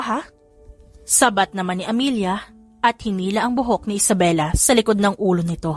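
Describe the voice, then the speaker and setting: A woman narrating a story in a dramatic, scripted audiobook style. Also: mid-range voice.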